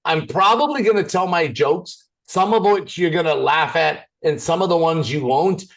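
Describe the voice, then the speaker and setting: A man's voice: on the high side, normal speed, vocal fry. A man from Canada, probably in his thirties, giving a chatty, joking business presentation over a video call. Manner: chatty and joking, goes off on tangents.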